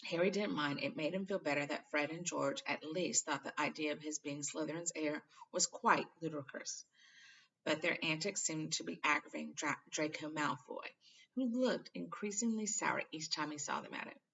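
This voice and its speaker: A woman's voice: sits low, normal speed, vocal fry, fairly bright tone. A woman reading a children's novel aloud as narrator, recorded on a dull, distant home microphone. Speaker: storybook reader.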